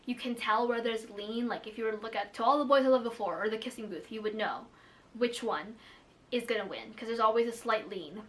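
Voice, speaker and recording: A woman's voice: on the high side, brisk. A young woman from the Philippines whose first language is Tagalog, chatting casually to camera about books, with lots of "like" fillers and self-deprecating asides. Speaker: book vlogger.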